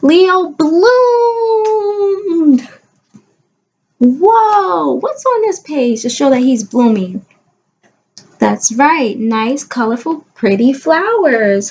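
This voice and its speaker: A woman kindergarten teacher giving an expressive read-aloud lesson to young children, coaxing listeners with questions and stressing key words. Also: high voice, slow pace, lo-fi sound, slight background noise.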